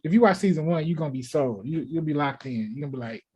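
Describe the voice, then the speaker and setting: A male co-host with a somewhat high voice chats casually. He is opinionated and jokey in how he talks. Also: animated, quick pace, dark tone, slightly rough. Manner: joking, opinionated. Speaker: talk show co-host. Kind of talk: casual chat.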